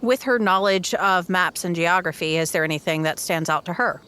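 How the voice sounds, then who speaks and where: A woman's voice: lowish voice, moderate pace, bright, forceful tone, clean tone. A woman player describing her character's actions in a tabletop roleplaying game.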